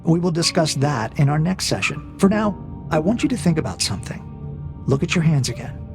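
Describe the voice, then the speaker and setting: A man voicing a cold AI interrogator in a science fiction audio drama, measured and formal, needling with calm, mocking questions. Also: a little high, vocal fry, music in the background. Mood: calm, ominous.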